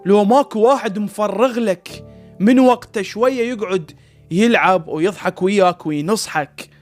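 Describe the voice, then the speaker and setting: A man's voice: high voice, normal speed, soft background music. A man with an Iraqi Arabic accent chatting casually and comically like a chiding big brother, full of rhetorical questions. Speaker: storyteller and online content creator. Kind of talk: casual comedic chat in a cartoon.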